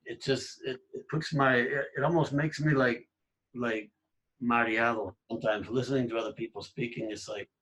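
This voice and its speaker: A middle-aged man hosting a podcast, talking in a casual, halting way with many restarts. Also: mid-range voice, steady tone, fairly bright tone, slight background noise, rough recording. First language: English. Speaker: podcast host. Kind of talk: casual conversation.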